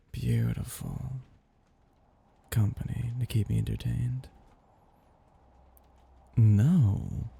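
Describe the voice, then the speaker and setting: Casting: male actor as ancient vampire master for ASMR roleplay, very dark and breathy, gravelly edge, possessive, teasing. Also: fairly low pitch, full character voice. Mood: teasing, condescending. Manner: languid, teasing, condescending endearments, soft chuckles. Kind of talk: intimate ASMR roleplay monologue.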